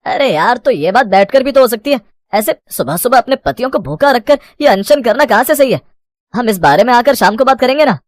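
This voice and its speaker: An exasperated young husband from a cartoon moral tale, acted by a man. He grumbles and pleads emphatically, and his words come at a fast clip. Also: very high voice, animated, no echo. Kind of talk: animated moral story dialogue.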